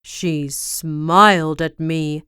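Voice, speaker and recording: Glum stern soldier guard played by a woman, slow solemn delivery, firm and loud, crisp dry sound. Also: sits low, fairly even intonation, no room sound, pitched below the performer's own voice, heavily acted. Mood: gloomy. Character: gloomy stern male castle soldier. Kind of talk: storybook reading.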